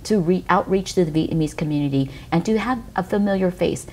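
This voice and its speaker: A woman's voice: lowish voice, a little fast, darkish tone, a little hiss or hum. An American woman hosting a community TV talk show, chatty and upbeat, using lots of "you know"s. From United States. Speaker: TV show host.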